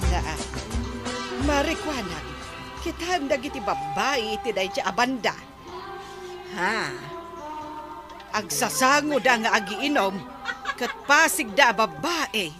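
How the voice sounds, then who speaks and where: Nosy village gossip: highish, unhurried, Ilocano Filipino accent, music underneath. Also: female voice, sing-song. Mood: disapproving, curious. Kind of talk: Ilocano radio comedy drama acting. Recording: music bed behind voice.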